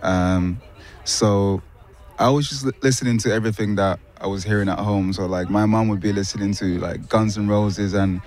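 A young man from Finland, a musician guest answering interview questions in a park in a relaxed, thoughtful way with hesitant restarts. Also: on the low side, moderate pace, hardly any pauses. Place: city park outdoors.

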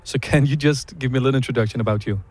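A man's voice: medium pitch, racing, darkish tone. Chatty man interviewing outdoors. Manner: friendly, chatty, with many fillers. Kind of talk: casual interview. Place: city park outdoors.

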